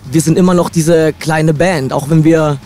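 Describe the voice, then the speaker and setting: A hoarse young man, a guest musician, talking in a relaxed, reflective way into a handheld mic inside a van. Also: fairly high pitch, moderate pace, a little hiss or hum. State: hoarse from shouting. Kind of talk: casual interview.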